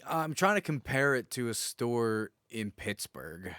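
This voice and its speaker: A man's voice: mid-range voice, very animated, measured pace, a bit bright, clean tone, no echo. American man bantering, close dry recording. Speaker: podcast co-host. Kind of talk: casual bantering chat.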